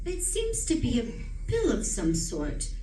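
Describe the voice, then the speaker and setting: An actress playing a newlywed wife in an old-time radio suspense play, theatrical and deliberate. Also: female voice, a little high, wildly swooping pitch, mellow. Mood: curious, peeved. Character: newlywed wife, playful, growing puzzled and suspicious. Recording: echoey, distant room with background noise.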